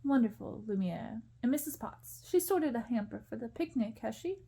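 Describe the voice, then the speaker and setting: A woman's voice: a little high, animated, moderate pace, creaky, mellow, breathy edge, heavily acted. A woman voicing a needy young prince in a storybook reading. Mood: pleased.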